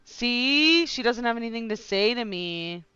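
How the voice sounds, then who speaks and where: A woman streaming a game, casually reacting and reading choices aloud in an expressive, irreverent, giggly way. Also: on the high side, unhurried, clean tone, dry recording, dull audio.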